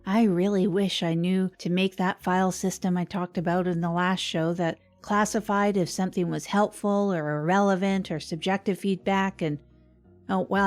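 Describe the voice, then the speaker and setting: A woman's voice: fairly low pitch, lightly acted. A woman playing a self-deprecating radio host who rambles wryly through a scripted comedic confession. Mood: rueful, wry. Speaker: fictional radio host and narrator.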